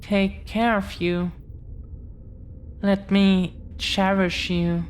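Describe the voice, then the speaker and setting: A woman playing a protective, affectionate dragon-blooded girlfriend in an intimate audio roleplay, speaking in a halting, earnest way. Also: medium pitch, little pitch movement, slight background noise. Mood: protective, adoring.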